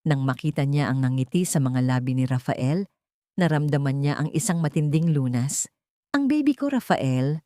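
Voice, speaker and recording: A woman narrating a dramatic audiobook story in a measured, dramatic way. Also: very deep voice, fairly even intonation, dark tone, no echo, studio quality.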